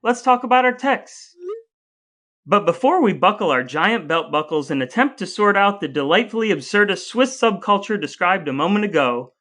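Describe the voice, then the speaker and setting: A man's voice: high voice, sharp tone. An American man co-hosting a podcast, moving between scripted intros and casual chat in a playful, wordy, announcer-like way. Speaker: podcast co-host.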